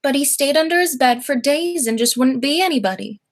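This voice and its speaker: A young woman acting a gentle, dainty elderly aunt in a high school radio play, mild and genteel. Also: expressive, fairly quick.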